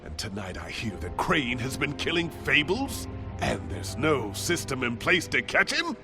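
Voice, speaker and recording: A man's voice: mid-range voice, sing-song, unhurried, over music. An actor playing an arrogant, wealthy, short-tempered man in a video game, pompous and scornful. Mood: haughty. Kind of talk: dramatic video game acting.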